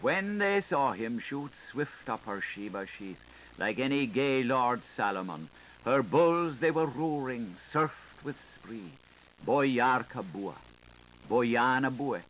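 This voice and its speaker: A man playing a knowing, sharp-tongued washerwoman, telling gossip in a lilting, dramatic audiobook style. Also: mid-range voice, animated, slow pace, low-quality recording. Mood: relishing. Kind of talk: dramatic literary audiobook reading. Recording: hissy 1985 tape recording.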